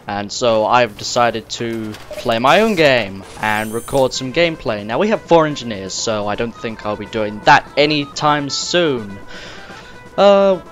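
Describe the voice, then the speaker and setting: A young man, slightly croaky and sniffly, rambling casually to the viewer as he plays and comments on a game. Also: medium pitch, a bit bright. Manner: rambling, chatty, talks straight to the viewer. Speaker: gamer recording gameplay commentary. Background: game music and sounds.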